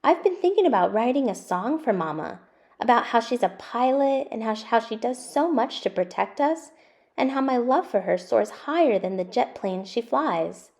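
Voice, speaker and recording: A woman's voice: fairly high pitch, expressive, normal speed, a little mellow, voice pushed up. A woman acts out a young boy cat from a children's storybook. He is earnest and a little anxious, speaking with heartfelt openness.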